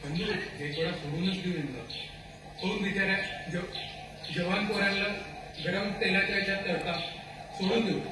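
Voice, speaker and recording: In a very echoey, noisy hall, far from the microphone, a soft-hearted forest tribesman played by a man talks in a high voice. He is lenient and conciliatory, coaxing as a character in an amateur stage play. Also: fairly even intonation, normal speed, pauses often, lightly acted. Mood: sympathetic.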